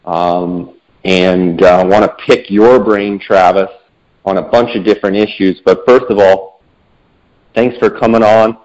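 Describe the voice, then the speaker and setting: Man, podcast host, low-pitched, little pitch movement, conversational, muffled recording. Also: steady tone, a little slow, darkish tone.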